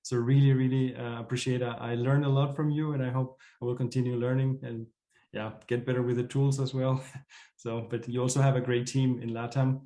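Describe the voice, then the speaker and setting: A man's voice: medium pitch, little pitch movement, a little fast, warm tone, echoey room. A man hosting an online community meeting, welcoming and thanking people in a casual, appreciative, informal way. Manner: appreciative, informal, chuckling often. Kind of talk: casual welcoming moderation of a video call.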